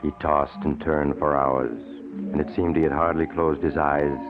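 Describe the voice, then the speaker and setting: A man narrating a 1940s radio suspense story in a dramatic, deliberate manner. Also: very deep voice, level tone. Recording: tinny old radio recording, music behind.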